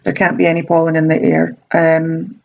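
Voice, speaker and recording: A woman's voice: deep voice, completely flat delivery, moderate pace, muffled recording, slight background noise. A woman with a slight sore throat giving casual self-help advice, chatty and meandering with frequent ums and restarts. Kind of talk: casual talking-to-camera advice.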